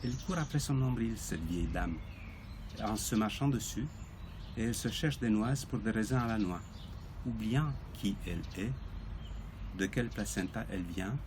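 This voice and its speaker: A man reads poetry aloud in a lowish voice. He shapes it as a measured recitation, pausing deliberately between phrases. Faint outdoor ambience sits behind him. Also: background noise. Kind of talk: poetry reading.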